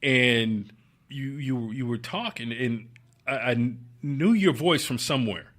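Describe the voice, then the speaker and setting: A man's voice: medium pitch, slow pace, fairly bright tone. A man hosting a casual interview with an easygoing, chatty manner and frequent filler words. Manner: easygoing, laughs often, many fillers. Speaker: podcast host.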